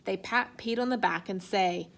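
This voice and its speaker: A woman reading a children's picture book aloud in an expressive way. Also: medium pitch, normal speed, gravelly. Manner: expressive, acts out sound effects and exclamations. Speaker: storybook reader.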